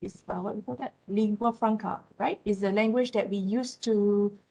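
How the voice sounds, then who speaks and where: A woman from Malaysia whose first language is Malay, speaking English with a Malaysian accent as a university lecturer. She gives a classroom lecture, often pausing on "uh" and checking in with "right?" and "yeah?". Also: medium pitch, steady tone, dark tone, rough recording. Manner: frequent "uh", tag questions "right?" and "yeah?".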